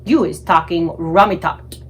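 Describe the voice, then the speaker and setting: A woman's voice: on the low side, unhurried, a little hiss or hum, lightly acted. A woman voicing a kindly, plucky male giant who speaks earnestly in muddled grammar, in a storybook read-aloud. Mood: eager coaxing. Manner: earnest, garbled childlike grammar.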